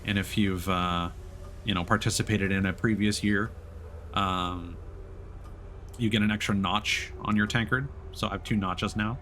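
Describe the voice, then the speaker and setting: A man's voice: fairly low pitch, steady tone, moderate pace, a bit bright, slight background noise. A man livestreaming a video game, giving casual, explanatory commentary. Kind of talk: casual live gaming commentary.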